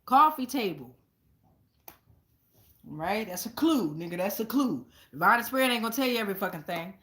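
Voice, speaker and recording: A woman's voice: mid-range voice, animated, moderate pace, a bit bright. An American woman giving a casual, rambling tarot reading to viewers, blunt and slangy, with heavy cursing. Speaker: tarot card reader hosting her show. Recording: echoey room.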